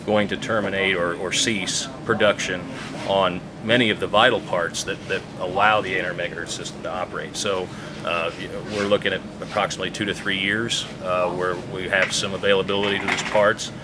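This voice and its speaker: Male official, low register, hesitant and measured in interview, recorded with clear background noise. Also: level tone. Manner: hesitant, halting, measured explaining. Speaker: public safety radio official being interviewed. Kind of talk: unscripted interview answers.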